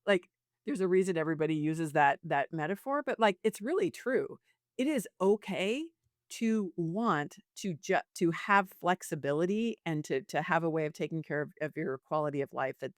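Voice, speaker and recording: A woman in her late fifties talking conversationally as an interview guest, sharing advice and stories in an informal, animated, emphatic manner. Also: deep voice, no echo, studio quality. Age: late 50s. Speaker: podcast guest, business coach.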